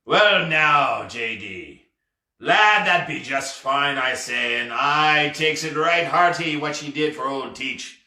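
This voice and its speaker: A man voicing the sly, blustering ghost of a burly pirate captain in a storybook, with archaic pirate dialect and deliberate phrasing. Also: fairly high pitch, sharp tone, full character voice. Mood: hearty, satisfied. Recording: roomy, echoey, distant mic.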